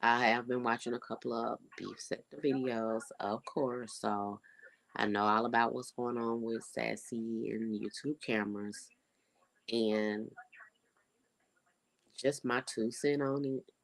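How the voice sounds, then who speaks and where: Stuffy, congested young adult vlogger with a gravelly voice; laid-back, rambling, lots of fillers. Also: medium pitch, steady tone, takes it slowly. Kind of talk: casual vlog update.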